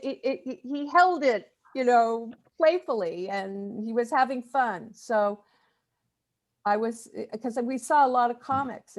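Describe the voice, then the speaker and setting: A woman chatting casually in a group discussion, halting and repeating words as she works out her thoughts. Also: on the high side. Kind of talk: casual video-call chat.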